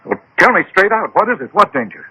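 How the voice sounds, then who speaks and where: An animated, headstrong, agitated gentleman. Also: high-pitched, slightly rough, exaggerated voice, poor audio. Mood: demanding, impatient. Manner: insistent, dramatic, halting exclamations. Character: headstrong, agitated gentleman demanding to know his fate. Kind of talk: 1970s-80s radio drama acting. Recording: old radio broadcast, faint noise.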